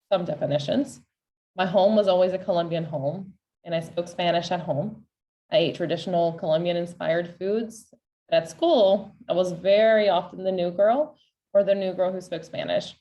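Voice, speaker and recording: A woman's voice: fairly low pitch, normal speed, warm tone. A woman with an American accent giving a personal storytelling presentation as a panel speaker. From Roanoke, Virginia, United States. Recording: slightly distant, muffled microphone.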